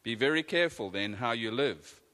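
A man reading scripture aloud in a measured, deliberate way. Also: mid-range voice, animated, pushed and bright. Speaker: scripture reader. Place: church. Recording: echoey church hall.

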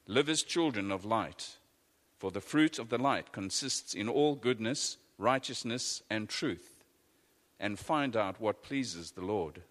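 Man reading scripture, measured, slow. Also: fairly low pitch, animated, a bit bright. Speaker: scripture reader. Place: church. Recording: echoey church hall.

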